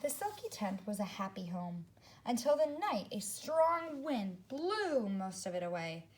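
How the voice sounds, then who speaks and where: A woman teacher reads a picture-book story to young children in an expressive, deliberate way. Her pitch moves in lively swings and she takes her time over each phrase. There is faint noise behind her voice. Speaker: teacher reading aloud to second graders. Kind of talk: read-aloud storytelling with asides to children.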